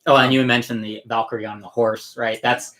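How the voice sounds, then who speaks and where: A man's voice: medium pitch, level tone, brisk, fairly bright tone. A man co-hosting a film discussion, casual but analytical, often checking in with a questioning tag. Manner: explanatory, often checking with "right?". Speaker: co-host reviewer.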